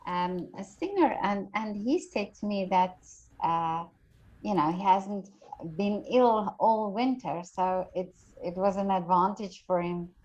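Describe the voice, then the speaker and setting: A woman's voice: mid-range voice, slow, a little mellow, highs cut off. Woman, interviewer, video call, hesitant, filler-heavy, friendly.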